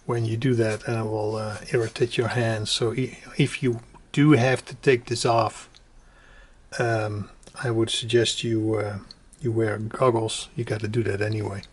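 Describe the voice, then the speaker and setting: A man presenting an informal hands-on do-it-yourself tutorial, explaining step by step in a halting way and repeating his instructions. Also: fairly low pitch, flat delivery. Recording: clearly noisy home recording with clicks.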